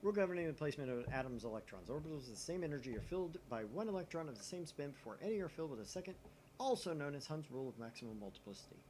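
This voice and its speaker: A man's voice: fairly high pitch, very fast. A man narrates a visual novel and chats casually as a gaming host. His voice moves up and down a lot, with a joking manner, over faint background noise. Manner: joking, animated asides.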